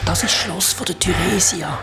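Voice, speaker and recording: An actor voicing a brave young adventurer who leads the way, speaking Swiss German eagerly and often in a hushed whisper. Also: medium pitch, normal speed, loud music behind the voice, close to an everyday voice. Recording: heavy background noise.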